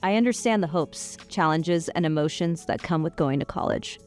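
A woman from Northern California, a clinical social worker, giving a warm, sincere scripted introduction. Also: deep voice, normal speed, soft background music.

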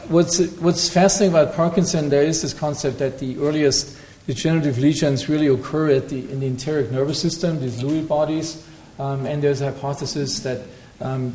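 A man presents research findings in an academic lecture and takes audience questions. His voice is mellow and dark, and his delivery is hesitant, full of ums and restarted phrases. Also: mid-range voice, slight background noise, low-quality recording.

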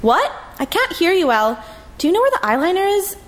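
A chatty, oblivious young woman of twenty-two, rambling on in a breezy, high, quick voice, as a woman reads her part. She sits well above the reader's own middle pitch. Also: very animated.

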